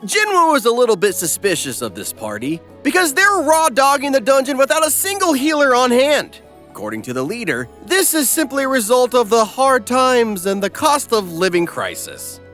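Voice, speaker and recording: A man's voice: very high-pitched, wildly swooping pitch, moderate pace, a bit bright, soft background music. A man giving a comedic scripted recap as narrator, snarky, irreverent and exuberant.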